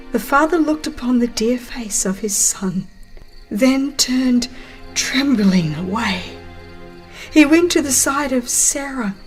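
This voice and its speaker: Woman solemnly narrating, higher-pitched, over music. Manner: solemn, expressive, measured with dramatic pauses. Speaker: audiobook narrator. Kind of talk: dramatized scripted religious story narration. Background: music behind the voice.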